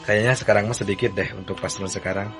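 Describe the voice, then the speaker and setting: A man teaching a religious text to beginners in a relaxed, easygoing manner. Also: fairly low pitch, fast, over music. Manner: relaxed, chanting the text lines in a sung recitation. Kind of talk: kitab reading lesson.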